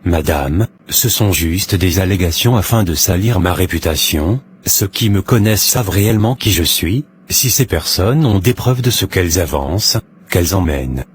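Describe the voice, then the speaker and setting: Deep-voiced man playing a formal male politician. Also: lively intonation, normal speed, slight background noise. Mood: defensive, insistent. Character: self-made male businessman running for parliament, earnest, self-righteous. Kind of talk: animated drama dialogue, political interview answers.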